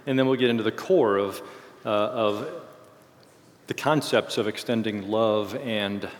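Man teaching a sermon in a reverberant hall. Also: on the low side. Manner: halting, explanatory delivery with self-corrections. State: getting over a cold, voice slightly failing. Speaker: church teacher leading a series on discipleship. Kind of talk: informal sermon or Bible lesson to a congregation. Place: speaking to a group in a large reverberant room.

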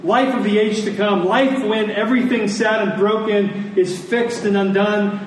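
A man preaching a sermon in a conversational, deliberate way, telling stories and explaining scripture, pausing and repeating phrases for emphasis. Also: sits high, steady tone. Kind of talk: sermon with storytelling and scripture exposition. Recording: echoey room, distant mic, faint noise.

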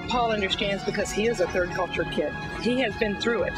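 A woman calmly explaining what third culture kids go through, in an interview for a ministry video. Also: medium pitch, fairly quick. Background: music.

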